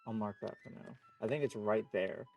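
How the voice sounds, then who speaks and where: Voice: medium pitch, fairly quick, creaky, darkish tone. A game streamer giving casual live commentary, chatty and playfully reactive, with game music behind the voice.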